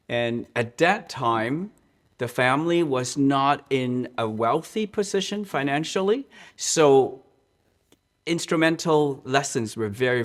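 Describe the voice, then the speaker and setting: Man, earnest storyteller, mid pitch, halting restarts. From Hong Kong. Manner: earnest, restarts and repeats words, slows on key points. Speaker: church music director. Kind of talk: interview, personal storytelling.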